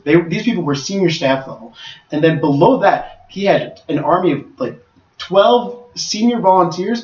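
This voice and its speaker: A man organizing tournaments, talking through a casual podcast recap in a rambling way with many restarts. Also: on the high side. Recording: roomy, distant, dull mic.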